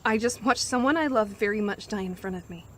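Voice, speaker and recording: A woman's voice: mid-range voice, fairly quick, a little hiss or hum, close to an everyday voice. A woman playing a firm, exasperated young survivor in grief, speaking urgently and with authority in an audio drama. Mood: firm, grieving. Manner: urgent, commanding, emotional. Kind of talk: audio drama acting, anime fan parody.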